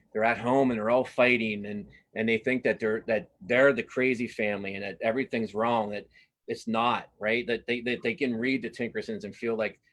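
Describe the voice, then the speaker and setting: A man's voice: lowish voice, a bit bright. A man speaking as a cartoonist guest in a casual interview, informal and rambling, often interrupting himself. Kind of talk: casual interview chat about own work.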